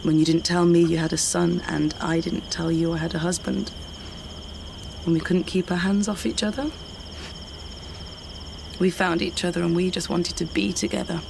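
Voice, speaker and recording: A woman's voice: fairly low pitch, flat delivery, moderate pace, gravelly, pauses often. An actress playing a weary, candid middle-aged British woman in a naturalistic radio drama. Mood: tender, reflective. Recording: night insects and outdoor ambience noise.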